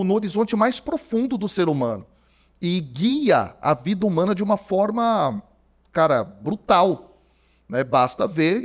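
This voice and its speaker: A Brazilian man around forty, a theology professor talking as a podcast guest, telling his story casually with lots of fillers. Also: on the high side. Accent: Brazilian Portuguese. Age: 40s. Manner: animated storyteller, many fillers like "cara". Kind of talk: conversational podcast interview. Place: podcast studio. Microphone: studio microphone.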